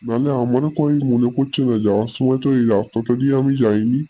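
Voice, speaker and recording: A man's voice: medium pitch, fairly even intonation, fast, close to an everyday voice. A man playing a friendly, responsible elder brother in an amateur horror cartoon, talking casually. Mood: unsure. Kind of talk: amateur animated horror story dialogue. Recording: dull lo-fi sound with music.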